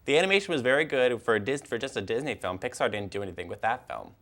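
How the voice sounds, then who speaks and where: A young man chats casually as co-host of a film show. His pitch moves around a lot, and he speaks haltingly, often stammering and repeating words while he works out his point. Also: animated, fairly bright tone. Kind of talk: casual chat.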